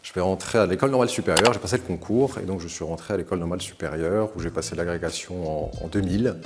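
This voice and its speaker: A man in his forties who grew up in Morocco, telling the story of his studies and career in a measured, articulate way, with frequent hesitations. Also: sits low. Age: 40s. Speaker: interview guest. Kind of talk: recounting his career to camera.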